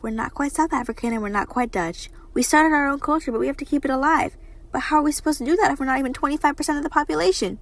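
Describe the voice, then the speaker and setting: A woman's voice: high-pitched, racing, pitched above the performer's own voice. A woman voicing a smug, gleefully cruel colonizer puppet with theatrical delivery in an amateur puppet show. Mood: worried.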